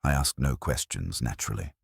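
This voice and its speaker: A prim English landlady, as acted by a male narrator, very deep in pitch and slightly husky. She is sniffy and guarded, dropping terse fragments with lively rises and falls in a mystery audiobook. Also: fairly quick, no echo, clean studio sound, full character voice. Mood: guarded, observant. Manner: clipped, terse fragments, haughty.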